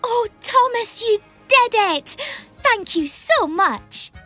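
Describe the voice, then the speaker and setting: A woman playing a caring, anxious female train engine in a children's animated series, earnest and dramatic. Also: very high voice, slow, heavily acted. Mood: relieved, grateful. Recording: music behind the voice.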